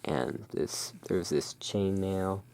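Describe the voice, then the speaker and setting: A person speaking in a halting, musing way, explaining things as the owner of a gothic curiosity shop in an ASMR roleplay. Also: low voice, gravelly, dark tone, slight background noise. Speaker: roleplaying host. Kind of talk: ASMR roleplay, showing tarot cards.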